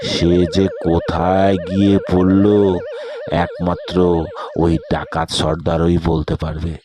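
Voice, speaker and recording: A man narrating a cartoon ghost story in a steady, measured way. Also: sits low, a crowd in the background.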